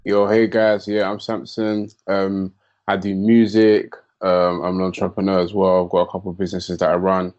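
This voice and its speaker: Laid-back young man, low voice. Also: completely flat delivery, normal speed, a little mellow, lo-fi sound. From London, England. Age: young adult. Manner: laid-back, slangy, laughs often. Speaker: podcast guest. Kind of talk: casual chat.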